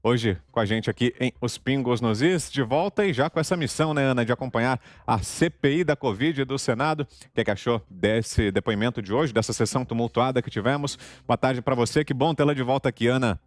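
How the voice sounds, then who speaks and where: A man's voice: medium pitch, fairly quick, few pauses, no echo. A man hosting a news talk show, introducing a returning commentator in a welcoming, conversational way. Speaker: host.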